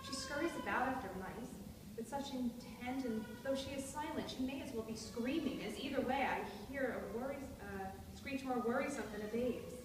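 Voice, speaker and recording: A woman's voice: a little high, moderate pace, natural voice. A woman playing an anxious, melodramatic widowed stepmother with frail nerves in a dramatic stage reading of a period play, speaking in formal, ornate phrases. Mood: distressed, impassioned. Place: hall at a live staged reading. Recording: echoey noisy hall, distant microphone.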